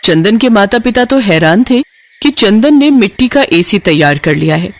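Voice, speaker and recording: A woman's voice: mid-range voice, lively intonation, moderate pace. A woman narrating a scripted children's moral story.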